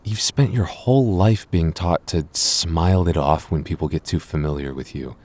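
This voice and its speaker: A man playing a possessive but apologetic young boyfriend in an intimate audio roleplay, speaking in a halting, earnest, conversational way. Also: low-pitched, darkish tone. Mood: exasperated, protective. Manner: hesitant, halting, stammering earnestly.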